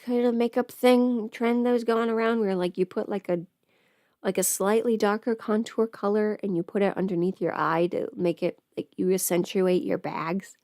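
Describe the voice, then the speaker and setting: A woman playing a chatty, playful streamer who rambles casually through her makeup routine, often slipping into hushed asides. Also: medium pitch, no room sound, clean studio sound, lightly acted. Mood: curious, chatty. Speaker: livestreamer doing a get-ready-with-me makeup stream. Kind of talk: casual livestream chat, scripted audio roleplay.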